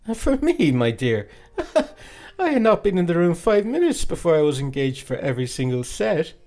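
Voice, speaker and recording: Man putting on a coy, high-pitched young woman. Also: sing-song, moderate pace, a little mellow. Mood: teasing, superior. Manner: coquettish, mocking, mock-timid. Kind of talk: audiobook character acting.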